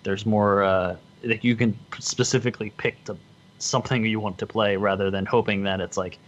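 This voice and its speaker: A man's voice: lowish voice, flat delivery, fairly quick, lo-fi sound. A man talking casually about video games as a guest on a podcast. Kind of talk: casual gaming chat.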